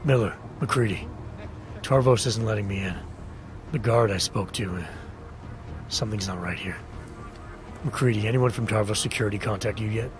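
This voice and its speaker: A man playing a stoic, gruff, wary security agent with a terse, clipped delivery in a video game. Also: lowish voice, moderate pace, pauses often, lightly acted. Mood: focused. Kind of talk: video game cutscene acting. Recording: music behind the voice.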